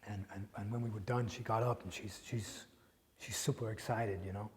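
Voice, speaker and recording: An actor playing a grieving widower who talks bluntly and tenderly, swearing often and stumbling over restarts. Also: male voice, lowish voice, steady tone, big-room sound, natural voice. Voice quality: profane, halting with repeated restarts. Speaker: widower speaking to a grieving listener. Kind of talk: emotional dramatic monologue.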